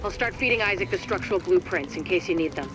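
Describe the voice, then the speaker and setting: A woman playing a steady agent handler in a video game, guiding an operative in a businesslike, dryly encouraging way. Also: lowish voice, quick pace, natural voice. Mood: focused. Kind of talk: scripted game dialogue, radio guidance. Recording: noisy game ambience behind.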